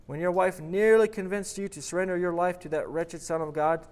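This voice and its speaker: A man voicing a cruel, possessive demon who mocks and taunts in a steady storytelling voice. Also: fairly high pitch, fairly quick, close to an everyday voice. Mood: taunting. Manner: mocking, taunting, shrieking. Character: mocking, clinging male demon, cruel and possessive.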